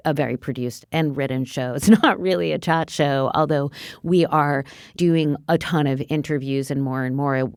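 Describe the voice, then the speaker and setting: A woman with a deep-set, smooth and clear voice. She co-hosts a podcast in a conversational, opinionated style, with a very dry studio sound. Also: dry recording. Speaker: podcast co-host. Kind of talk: casual, opinionated podcast discussion.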